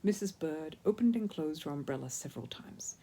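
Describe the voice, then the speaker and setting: Animated woman storyteller, soft husky edge. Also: deep voice, a little fast. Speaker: storyteller, audiobook reader. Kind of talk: expressive children's story narration.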